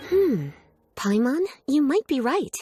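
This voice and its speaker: A woman's voice: high voice, big pitch swings, takes it slowly, over loud music, heavily acted. A woman voicing an elegant, teasing, doting librarian in a video game, with genteel and composed phrasing. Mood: storytelling, mysterious. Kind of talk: video game character acting.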